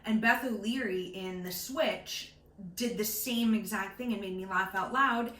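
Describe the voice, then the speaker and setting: A woman's voice: medium pitch, a little slow, a bit bright. A young woman chatting casually and enthusiastically about books as co-host, giggly and opinionated. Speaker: book podcast co-host. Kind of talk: casual book chat. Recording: roomy echo with faint background noise.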